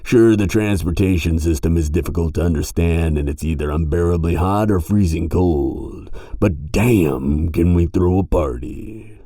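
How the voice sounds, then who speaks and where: A man's voice: low voice, unhurried, hardly any pauses, mellow, dry recording, studio quality. A man voicing a brash, sardonic grim reaper in an audio roleplay, slangy, teasing and argumentative. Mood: boastful, irreverent.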